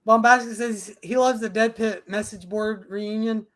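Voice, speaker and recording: A man's voice: high-pitched, fairly even intonation, moderate pace, fairly bright tone. A man hosting a casual podcast and reading out live chat, emphatic and profane, with frequent restarts.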